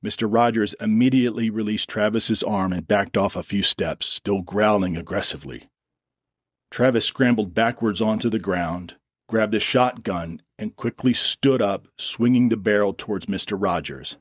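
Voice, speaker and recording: A man's voice: on the low side, moderate pace, no echo, studio quality. A man reading scripted, dramatic story narration as the narrator.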